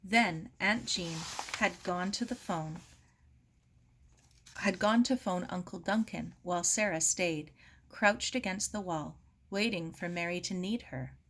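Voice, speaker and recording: A woman narrating a novel as an audiobook reader, expressive, deliberate and grave. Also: lowish voice, slight background noise. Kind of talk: dramatic scripted narration of a novel.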